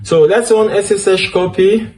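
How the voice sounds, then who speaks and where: A man speaking English with a French accent, his voice sitting a little high for a man. He talks a tech tutorial through step by step, with plenty of fillers and quick self-corrections. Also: steady tone, a little mellow. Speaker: DevOps instructor. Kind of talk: screen-share tutorial walkthrough.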